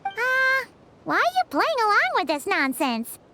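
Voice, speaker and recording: A woman voicing a small, childlike, excitable fairy companion in a video game, with a squeaky, chirpy voice. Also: a little slow, a little hiss or hum, exaggerated voice. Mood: puzzled. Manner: refers to self in third person, chirpy.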